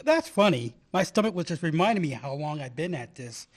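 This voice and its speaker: A man plays a young history grad student, playful and obsessive, laughing at his own corny jokes. His voice swings widely in pitch as he jokes and theorizes, in a homespun radio-play style. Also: very animated, fairly quick. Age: young adult. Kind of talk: amateur radio play acting.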